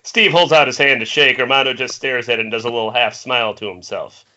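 Bright-voiced man narrating a screenplay. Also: medium pitch, fast. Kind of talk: reading screenplay stage directions. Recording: muffled internet call, faint noise.

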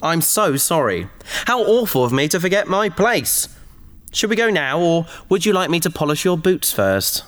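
A man's voice: on the high side, big pitch swings, normal speed, fairly bright tone. A man playing an earnest, bookish researcher in a sci-fi audio drama, polite and fussy with indignant sarcasm. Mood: huffy.

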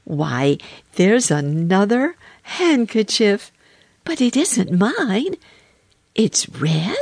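Woman as a high-voiced, curious little girl. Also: big pitch swings, drawn-out words, darkish tone, no room sound, studio quality, heavily acted. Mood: curious, excited. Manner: questioning, exclaiming, childlike. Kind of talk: children's storybook character voice.

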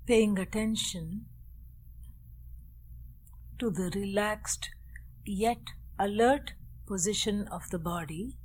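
Woman slowly guiding a meditation. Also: mid-range voice, darkish tone, slight background noise. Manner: slow, deliberate instruction with long pauses. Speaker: meditation teacher. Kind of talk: guided meditation with opening Sanskrit chant.

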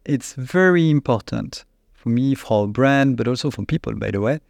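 A man with a French accent, a company CEO, answering interview questions in a halting, searching way with frequent restarts. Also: medium pitch, lively intonation, creaky, darkish tone, dry recording, studio quality. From France. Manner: halting, restarts often, searches for English words.

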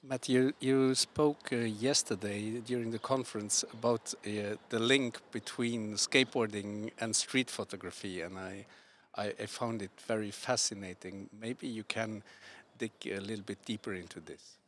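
A man asking a question as co-host, his voice quiet and trailing off. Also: lowish voice, steady tone, normal speed, few pauses, slight background noise.

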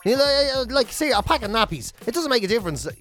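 A man's voice: very high voice, big pitch swings, quick pace, a bit bright, soft background music. An Irish man co-hosting a podcast with casual comic banter and a sweary, mock-ranting way of talking. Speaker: podcast and radio host.

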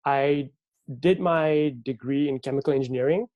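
A man's voice: mid-range voice, moderate pace, no echo, narrow, tinny audio. A young man from Malaysia, a guest on an interview podcast, talking in an easygoing, agreeable way. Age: young adult. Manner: easygoing, agreeable, says "yeah" often. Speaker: guest, visual artist. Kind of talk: interview conversation.

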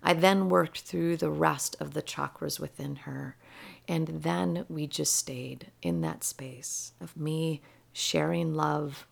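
A woman gently telling a personal story about healing a rescued dog, her voice soft and often dropping to a near whisper. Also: low voice, creaky. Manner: gentle and slow-paced. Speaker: animal healer.

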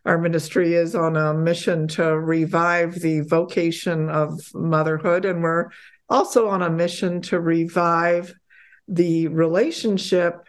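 A middle-aged woman from Poland, a podcast guest chatting casually, laughing often and getting animated when excited. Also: fairly low pitch, measured pace. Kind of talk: casual interview chat.

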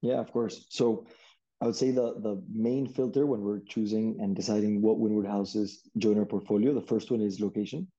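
A young man from Peru, a startup CEO, answering interview questions in a relaxed way with many restarts and fillers. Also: on the low side, moderate pace, dull audio.

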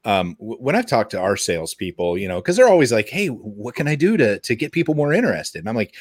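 A man co-hosting a podcast in casual chat, animated in the way he talks. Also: lowish voice, quick pace, no room sound, studio quality. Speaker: podcast co-host.

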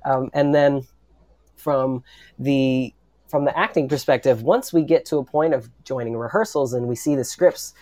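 A man's voice: medium pitch, normal speed, darkish tone, dry recording. Earnest man, close dry sound. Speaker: writer and voice actor on an audio drama. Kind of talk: reflective panel discussion.

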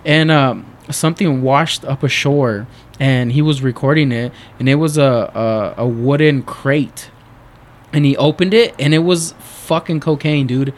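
A man's voice: mid-range voice, unhurried. Rambling man chatting as a guest. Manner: rambling, profane, lots of fillers. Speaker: podcast guest. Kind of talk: casual chat.

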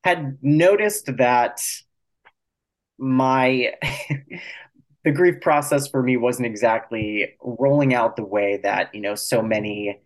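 A man's voice: medium pitch, slow pace, pauses often, poor audio. A man speaking as an author guest in an interview, explaining things reflectively and choosing his words carefully.

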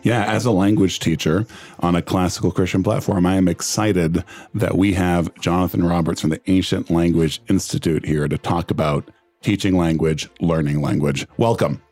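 Very deep-voiced male co-host. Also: steady tone, moderate pace, a little mellow. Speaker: co-host and language teacher.

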